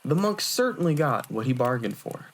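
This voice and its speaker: A man's voice: medium pitch, very animated, moderate pace. Man playing a smug, theatrical young monk. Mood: spooky, dramatic. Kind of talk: fan audio drama acting, spooky campfire storytelling.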